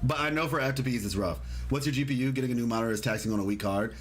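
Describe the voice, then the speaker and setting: A man's voice: medium pitch, racing, soft background music. A man hosting a casual livestream, rambling and repeating himself as he reads and answers chat comments. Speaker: livestream host. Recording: clearly noisy background ambience.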